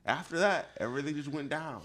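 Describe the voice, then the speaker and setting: A man's voice: a little high, expressive, fast talker, a bit bright. A young man co-hosting a casual podcast chat, rambling and laughing as he talks. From Ohio. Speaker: podcast co-host.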